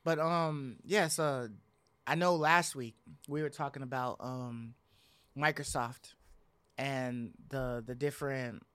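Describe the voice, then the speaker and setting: A man's voice: medium pitch, steady tone, slow pace, a bit bright, clear, resonant tone, no echo. A man hosting a casual gaming chat, talking in an informal, slangy, rambling way. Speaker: podcast host.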